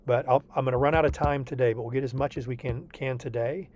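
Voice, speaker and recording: A man's voice: mid-range voice, steady tone, fast talker, darkish tone. Patient tutor man checking in often. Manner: patient and reassuring, checks in with frequent "okay?". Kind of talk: online tutoring session walking through homework.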